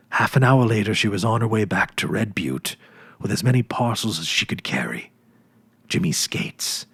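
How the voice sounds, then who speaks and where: Male narrator, low and husky, measured dramatic delivery, dry close-miked sound. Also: no room sound. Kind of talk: dramatic storybook reading.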